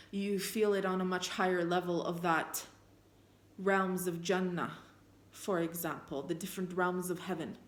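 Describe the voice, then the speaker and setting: A fairly low-pitched woman whose first language is Armenian, speaking in an earnest, unhurried way. She alternates between reading scripture aloud and musing on faith, with fillers and restarts. Her voice rings around a noticeably echoey room. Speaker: spiritual vlogger. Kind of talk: reflective talk mixed with reading scripture aloud.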